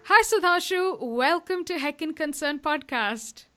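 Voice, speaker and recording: A woman's voice: high voice, expressive, a little slow, bright, forceful tone, soft background music. A young woman hosting a podcast, introducing an episode in an earnest, chatty way with small fillers and restarts. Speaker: podcast host. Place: Melbourne.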